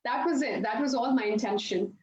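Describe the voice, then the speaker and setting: A woman's voice: fairly high pitch, a little fast, darkish tone. A woman, a yoga instructor chatting as an interview guest and laughing often as she tells stories. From India. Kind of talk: casual interview storytelling. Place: her home yoga studio. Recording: roomy, dull video-call audio with faint noise.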